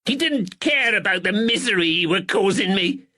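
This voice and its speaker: A weaselly small-framed draper, all nerves and plaintive stammers. His voice climbs high and thin when he's cornered, as a man reading the story puts him on. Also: lively intonation, moderate pace, pushed and bright. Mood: angry shrill. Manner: stammering, plaintive, rising to shrill. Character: nervous weaselly middle-aged male draper.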